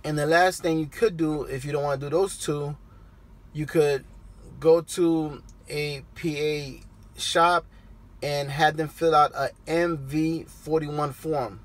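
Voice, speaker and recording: A man in his thirties with a slightly high voice talks straight to the camera from inside his truck cab. His tone is relaxed and conversational, like he's walking his viewers through a step-by-step how-to. The camera sits close on the dashboard. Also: slow pace. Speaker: trucker vlogger. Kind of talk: casual how-to vlog. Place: parked truck cab, daytime.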